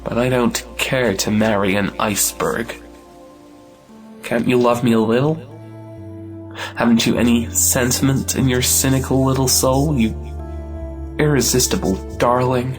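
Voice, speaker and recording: A man's voice: mid-range voice, steady tone, unhurried, long pauses, soft background music, close to an everyday voice. A man playing a hot-blooded, possessive suitor in an audio play, passionate and melodramatic. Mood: pleading.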